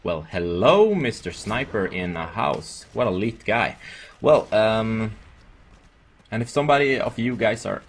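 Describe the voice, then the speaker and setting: A man's voice: on the low side, animated, a little slow. A man from Sweden with a Swedish accent gives casual, rambling and jokey commentary over gameplay. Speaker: gaming video creator.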